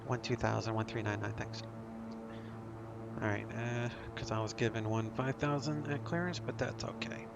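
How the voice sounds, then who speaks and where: A man streaming a flight simulator, making radio calls and calmly talking himself through cockpit steps. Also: on the low side, moderate pace, creaky, muffled recording, loud music behind the voice. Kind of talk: casual sim commentary with radio calls.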